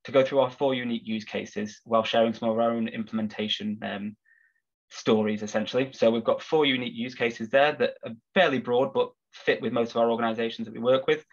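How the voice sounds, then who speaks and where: A man hosting a business webinar, sounding husky from a cold, relaxed with frequent filler words. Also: mid-range voice, quick pace. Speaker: webinar host. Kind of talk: corporate webinar presentation. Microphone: video call. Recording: slightly dull video call audio.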